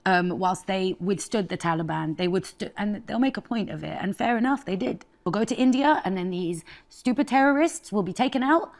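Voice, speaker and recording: A woman in her thirties from Afghanistan, a guest chef telling her life story in a candid, expressive way. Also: medium pitch, brisk, few pauses. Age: 30s. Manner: candid and expressive, laughs often.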